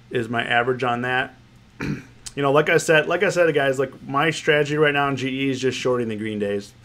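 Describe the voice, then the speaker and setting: A man's voice: medium pitch, fairly bright tone. A man with a stuffy, nasal voice from a cold, hosting a trading livestream, chatting casually and greeting viewers by name. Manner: rambling, repeats phrases, greets viewers by name. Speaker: options trading livestream host. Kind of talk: casual livestream commentary, reading chat.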